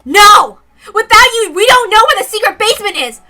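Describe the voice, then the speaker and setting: A fierce young girl acted by a woman reading a dramatic story demands answers at the top of her voice. She is very high, sharp and urgent. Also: lively intonation, normal speed, exaggerated voice. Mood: furious, commanding. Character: fierce, forceful young girl shouting orders in a crisis.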